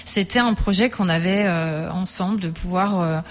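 A woman musician being interviewed on radio, talking thoughtfully with many pauses and fillers. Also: fairly low pitch. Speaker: musician guest.

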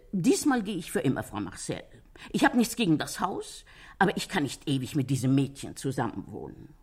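An older landlady in a radio crime play, her voice low and husky. She gossips with sympathy, swinging widely in pitch as she retells what people said. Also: darkish tone, exaggerated voice. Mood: lively, gossipy. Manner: chatty storytelling, quotes others animatedly. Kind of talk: German radio crime drama acting.

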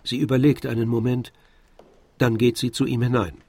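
A man narrating a literary radio drama from a script in a measured storytelling manner. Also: mid-range voice, flat delivery, darkish tone, a little hiss or hum. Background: faint music.

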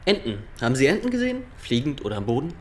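A man's voice: medium pitch, huge pitch swings, racing. An actor in a German radio drama playing a stiff, officious young male inspector who questions people formally and insistently. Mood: probing, pedantic. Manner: formal, pedantic, insistent interrogating.

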